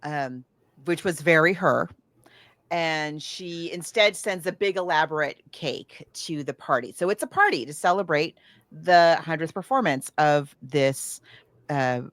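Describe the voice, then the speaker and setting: A woman's voice: low-pitched, measured pace, fairly bright tone, dry recording. A woman co-hosting a podcast, chatting casually about films in a chatty, emphatic way. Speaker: podcast co-host. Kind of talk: casual film discussion chat.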